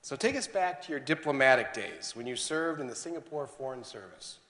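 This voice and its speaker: A man moderating an onstage interview, speaking in an earnest, measured way and trailing off quietly at the ends of sentences. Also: mid-range voice, sing-song. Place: lecture hall. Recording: reverberant hall.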